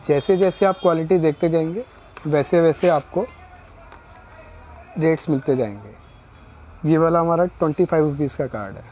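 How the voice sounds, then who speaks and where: A middle-aged male shopkeeper talks a customer through his stock in steady, explanatory sales patter. His voice sits a little high but sounds mellow and soft-edged. Faint shop noise hums behind him in a small indoor store. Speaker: wedding card shopkeeper. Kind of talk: showing products and prices to a customer.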